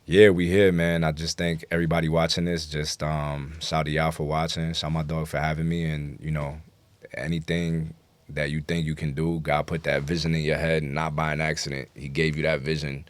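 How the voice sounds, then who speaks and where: A man's voice: a bass voice, little pitch movement, normal speed, dry recording. A man talking casually as a rapper guest in an interview, slangy, profane and self-assured. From New York City, United States.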